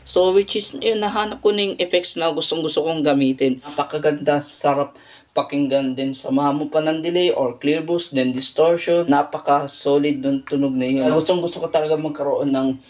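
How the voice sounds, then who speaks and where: A man's voice: fairly high pitch, fairly even intonation, moderate pace, darkish tone. A man talking casually and conversationally as a guitar effects vlogger, often ending phrases with a tag question. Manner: conversational, frequent "no?" tags. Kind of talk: casual vlog demo, Tagalog mixed with English. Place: indoor home studio by a window.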